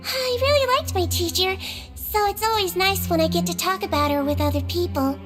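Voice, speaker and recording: A woman voicing a small, gentle, slyly sweet girl nurse from a magical folk in a video game, patient and teasing. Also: extremely high pitch, normal speed. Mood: gentle, cheerful. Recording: music behind the voice.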